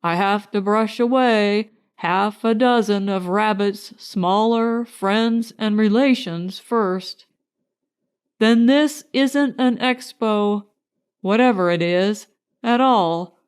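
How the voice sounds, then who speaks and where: A woman's voice: medium pitch, slow pace, smooth, close to an everyday voice. A woman voicing a gloomy, grumbling old toy donkey in a storybook, complaining in a ponderous, mournful way.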